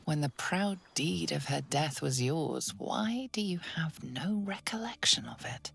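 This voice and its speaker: A woman narrating a dark video game as an ominous, brooding storyteller, deliberate and at times hushed. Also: low voice, animated, creaky, exaggerated voice. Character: ominous female narrator voicing dark inner thoughts.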